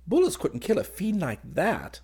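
A man's voice: high-pitched, wildly swooping pitch, moderate pace, darkish tone, husky, voice pushed up, full character voice. A man voicing a boyish, happy young army officer in a story, with eager, exclamatory chatter. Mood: vehement. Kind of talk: dramatised audiobook reading.

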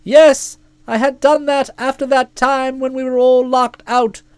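A hearty, bossy middle-aged aunt, as a woman reader puts her on, loud and emphatic. She speaks high and deliberately in a breezy, commanding manner. The recording is dry and close. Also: flat delivery, clear, resonant tone, no room sound. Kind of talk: audiobook character voice.

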